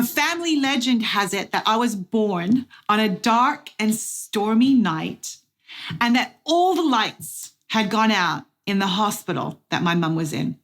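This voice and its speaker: A middle-aged woman giving a testimony sermon as guest speaker, slow, deliberate and earnest, with dramatic pauses. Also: medium pitch, fairly bright tone. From Australia.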